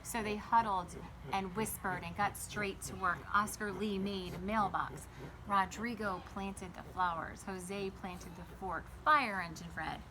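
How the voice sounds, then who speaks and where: A woman reading a picture book aloud to children like a teacher, pausing to explain and ask them simple questions. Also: medium pitch, fairly even intonation, background noise. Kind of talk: storybook read-aloud with explanatory asides.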